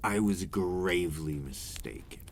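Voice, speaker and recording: A man playing a remorseful, brooding vampire in an ASMR roleplay monologue, deep-voiced and theatrical, with deliberate, formal phrasing. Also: a little hiss or hum. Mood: regretful, ominous. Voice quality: deep, breathy at times. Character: remorseful male vampire, seductive, brooding and menacing.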